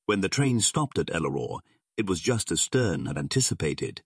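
Low, husky man narrating an adventure. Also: very animated, normal speed, no room sound, clean studio sound. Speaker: audiobook narrator. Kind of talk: scripted narration of a pulp adventure story.

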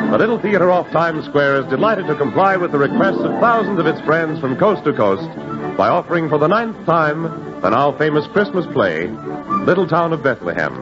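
An American man announcing in formal, grand, measured 1940s radio style. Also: on the high side. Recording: dull lo-fi 1940s radio broadcast. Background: music.

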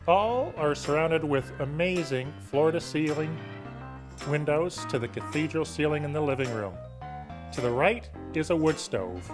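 Middle-aged male realtor, slightly high voice, upbeat sales tour narration over clear guitar music. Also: animated, measured pace. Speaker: real estate agent presenting a property tour.

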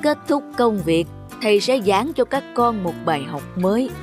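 A woman's voice: mid-range voice, expressive, normal speed, lightly acted. Woman voicing patient old village schoolmaster, music behind. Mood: instructive. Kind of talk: scripted cartoon dubbing. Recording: music under the voice.

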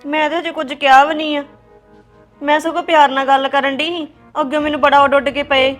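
A woman's voice: sits high, level tone, fairly quick, soft background music, natural voice. An actress playing a young wife who complains tearfully that she is blamed for nothing. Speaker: young wife in a family quarrel. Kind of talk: family drama acting.

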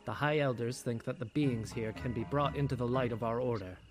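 A man performs a blind priestess in a dramatic comic dub. The speech is composed, pious and formal, told gravely and with measure. Loud music runs behind it. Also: mid-range voice, a little fast, close to an everyday voice. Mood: solemn. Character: solemn blind priestess of a religious order, composed.

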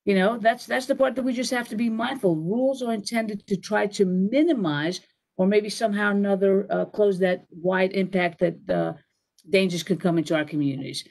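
A woman's voice: mid-range voice, moderate pace, creaky, a little mellow. A middle-aged woman on a town hall panel explaining safety policy over a video call from a home office, rambling with restarts and fillers. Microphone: video call webcam.

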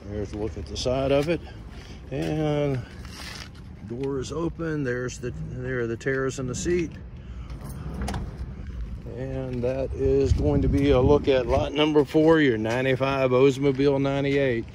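Man, mid-pitched voice, folksy and plain-spoken, informal walkaround narration, noticeable car-lot background noise. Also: fairly even intonation, a little slow. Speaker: vehicle auction presenter. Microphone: handheld phone held by the speaker. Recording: clear outdoor ambient and vehicle noise.

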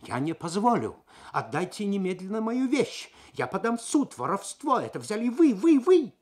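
A man acting a high-strung, blustering foreign gentleman. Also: moderate pace, exaggerated voice. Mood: furious, accusing. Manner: excitable, shouting, theatrical outbursts. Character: blustering, indignant foreign male traveller caught smuggling. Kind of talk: dramatic radio story reading.

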